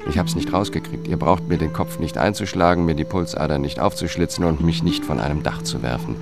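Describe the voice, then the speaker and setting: A man's voice: a bass voice, a little fast, vocal fry, over loud music. An actor playing a wary, courteous government investigator who narrates his own story in a radio drama, matter-of-fact and polite. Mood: matter-of-fact narration. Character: wary male government lawyer turned amateur investigator, courteous. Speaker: first-person narrator and lead.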